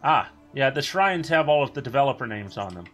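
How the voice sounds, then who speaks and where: A man giving casual, rambling commentary while playing a video game, with a booming voice. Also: very animated, brisk, a bit bright, soft background music. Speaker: streamer.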